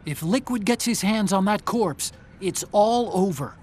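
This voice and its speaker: An actor playing an earnest, brainy tech ally in a video game, giving clear, urgent mission briefings. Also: male voice, sits high, expressive, measured pace, darkish tone, a little hiss or hum.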